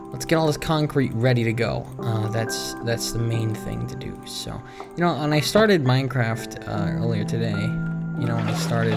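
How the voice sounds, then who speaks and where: A man doing casual Let's Play commentary, musing and talking to himself in a rambling way as he plays. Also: medium pitch. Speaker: gaming video creator. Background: game music.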